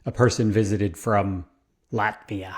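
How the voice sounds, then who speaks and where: A man's voice: on the low side, steady tone, measured pace, slightly rough. A man co-hosting a podcast about fatherhood, chatting in a playful, joking way. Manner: playful, jokey. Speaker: podcast co-host. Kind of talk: casual podcast chat.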